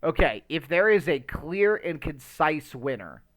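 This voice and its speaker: Bright-voiced man in animated banter. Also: fairly high pitch, measured pace. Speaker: podcast co-host and streamer. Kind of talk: casual bantering chat.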